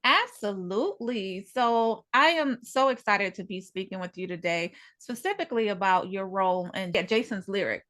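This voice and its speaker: A woman speaks as the host of an interview show. Her voice sits at an average pitch for a woman, and she moves between introductions and questions for her guest. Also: lively intonation, moderate pace, few pauses, fairly bright tone.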